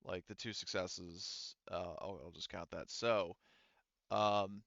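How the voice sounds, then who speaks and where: A man working as game master of a casual tabletop game, thinking aloud and explaining rules in a hesitant, halting way. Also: low-pitched, fairly even intonation, fairly bright tone, dry recording, dull audio.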